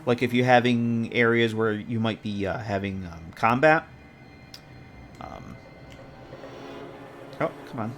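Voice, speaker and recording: A man streaming from home at a desk mic, tired and a bit stuffy in the nose. His voice sits in a middle pitch, and he rambles, restarts and thinks aloud as he works. Faint music plays underneath. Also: slow pace, pauses often, fairly bright tone. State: congested, tired. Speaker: modding streamer. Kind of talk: casual live-stream commentary while working.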